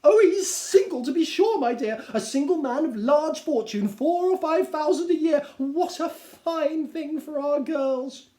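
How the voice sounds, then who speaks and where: A man voicing a fussy, excitable middle-aged wife, gushing and plaintive, in a theatrical reading of a classic novel. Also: very high-pitched, measured pace, few pauses, pitched above the performer's own voice. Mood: excited gossip. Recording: roomy, echoing indoor sound.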